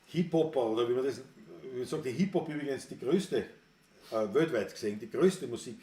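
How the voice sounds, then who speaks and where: A man's voice: mid-range voice, animated, a little fast, mellow, echoey room. A man with a Viennese accent hosting a music livestream, chatting in a rambling, opinionated way and reading viewer comments aloud. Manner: rambling and opinionated, circling back on his words.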